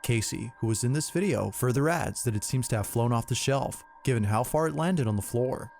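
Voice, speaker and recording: A man narrates a scripted account in a steady, even delivery. His mid-pitched voice has a gravelly edge, and a faint hiss of room noise sits behind him. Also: a little fast.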